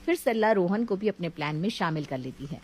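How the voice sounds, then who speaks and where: A woman's voice: fairly low pitch, expressive, brisk, a little mellow. A woman storyteller reading scripted narration of a moral family story.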